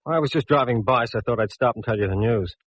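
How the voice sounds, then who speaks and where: An actor playing a plain-spoken, ribbing police detective in 1940s radio drama style. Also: male voice, mid-range voice, fast, a bit bright, a little hiss or hum, close to an everyday voice. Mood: matter-of-fact. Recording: dull vintage radio recording.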